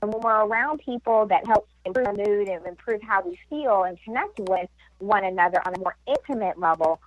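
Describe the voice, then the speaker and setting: Woman, wellness expert guest, explanatory with filler-heavy delivery, mid pitch, dry lo-fi radio sound. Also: a little fast, no echo. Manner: explanatory, frequent fillers and "right?" tags. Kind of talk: explaining advice on a radio talk show.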